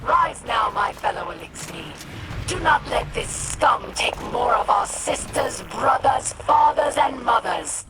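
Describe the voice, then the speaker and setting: A woman's voice: a little high, animated, a little slow, loud music behind the voice, poor audio. A woman playing a fierce alien rebel leader in a game cutscene, rallying kin in impassioned, declamatory speech. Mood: rousing, defiant. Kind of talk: dramatic game cutscene acting. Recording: loud game soundtrack underneath.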